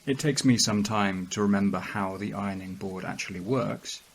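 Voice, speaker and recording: An actor playing a sullen, socially awkward man, narrating an audio drama monologue in a measured, brooding, literary way. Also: lowish voice, creaky. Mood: quiet, reflective.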